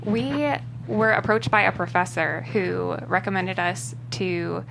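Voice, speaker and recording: A woman's voice: medium pitch, a little slow, creaky, background noise. A woman speaking casually as a writer guest in an interview. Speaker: screenwriter guest.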